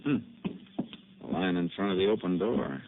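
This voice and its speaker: An actor playing a calm, methodical male Texas Ranger detective in a 1950s radio drama, asking measured questions. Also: deep voice. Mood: steady, probing. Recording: tinny lo-fi old radio broadcast with faint hiss.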